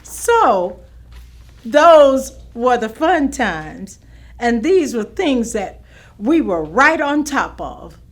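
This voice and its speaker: An actress playing a proud, firm veteran schoolteacher looking back in a staged reading. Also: female voice, on the high side, sing-song, extremely slow, heavily acted. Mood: proud, reminiscing. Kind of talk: staged monologue of oral history recollections.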